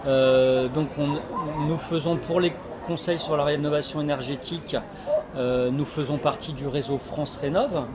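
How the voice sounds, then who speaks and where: A man explaining his association's work in an interview, halting often as he searches for words. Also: on the high side, steady tone. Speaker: energy renovation association representative. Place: community associations forum in a sports hall. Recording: loud crowd hubbub at a fair. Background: loud crowd.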